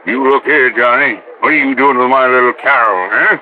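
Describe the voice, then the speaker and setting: A man's voice: mid-range voice, normal speed, slight background noise, close to an everyday voice. An actor playing a stubborn, roguish old oil man with a Western American drawl and a folksy, ornery manner in a 1950s radio drama. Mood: excited, blustering. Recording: dull, lo-fi vintage radio recording.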